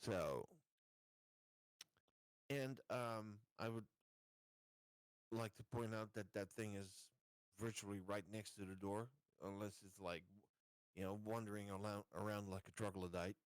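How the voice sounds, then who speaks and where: Guy playing a tabletop rpg, thinking out loud haltingly, lowish voice, dry mic. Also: fairly even intonation, clear, resonant tone, dry recording. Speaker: tabletop game player. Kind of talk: casual game discussion.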